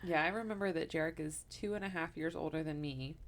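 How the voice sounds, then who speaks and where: A woman's voice: low-pitched, quick pace. A woman in her thirties telling a true-crime story to her co-host in casual podcast chat, laughing often and wandering into asides. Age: 30s.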